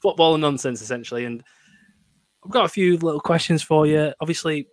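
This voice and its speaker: A man's voice: a little high, a little fast, dry recording. A man co-hosting a casual football podcast, asking questions hesitantly and chuckling between restarts. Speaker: podcast co-host. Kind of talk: casual chat.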